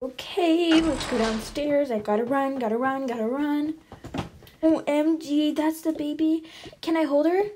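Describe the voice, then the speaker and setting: A woman voicing an excited young big sister in a toy role-play story. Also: sits high, unhurried, soft background music. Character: excited big sister meeting new baby.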